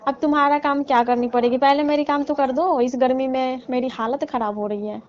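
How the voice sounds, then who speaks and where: A woman acting as a middle-aged married aunty with a fairly high voice, coy and teasing. She draws out her complaints flirtatiously in a comedy call skit. The audio is dull and lo-fi, like a recorded phone call. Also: steady tone, fairly quick, a little mellow, lightly acted. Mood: coy complaining. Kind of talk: staged intimate phone chat in colloquial Hindi.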